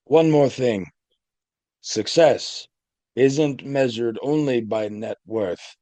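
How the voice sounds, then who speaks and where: A man playing a gentle, patient priest in an audio play, offering counsel in a measured, soothing pastoral way. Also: mid-range voice, no echo, natural voice. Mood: reassuring. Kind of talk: community theatre audio play acting.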